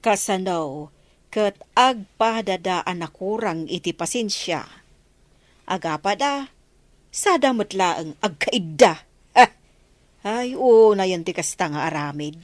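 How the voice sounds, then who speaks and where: A woman's voice: mid-range voice, lively intonation, slow, long pauses, no room sound. A woman acting an opinionated, matter-of-fact villager in a radio drama.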